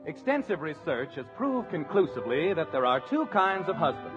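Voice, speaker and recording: A man's voice: on the high side, very animated, moderate pace. A man delivering scripted announcements and narration in a 1950s radio show style. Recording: dull old radio recording. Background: music.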